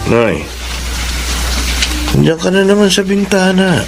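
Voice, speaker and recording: Skeptical, blunt grown son. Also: male voice, high voice, expressive, takes it slowly, vocal fry, music in the background, exaggerated voice. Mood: exasperated. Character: skeptical, resentful grown son reproving his mother. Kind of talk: Filipino radio drama acting. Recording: noisy radio drama track.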